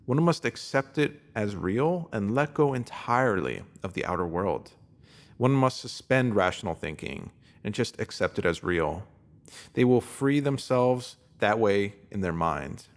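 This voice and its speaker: A man with a fairly low-pitched voice reads scripted self-help material. His delivery is measured and instructive, with emphasis placed on the important words. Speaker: narrator reading a written post.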